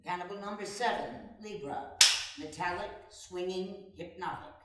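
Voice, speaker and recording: A woman's voice: on the low side, normal speed, very noisy. A woman reading stage directions as narrator in a dramatic live play reading. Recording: echoey hall, far from mic.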